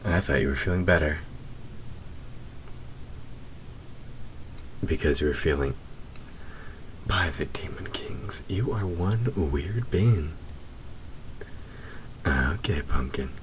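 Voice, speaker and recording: An extremely deep-voiced male demon, acted by a man speaks slowly and intimately, teasing and flirting in breathy murmurs. It plays as a roleplay audio drama, with faint noise behind the voice. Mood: playful, taunting. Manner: slow, intimate, breathy, drifting into whispers.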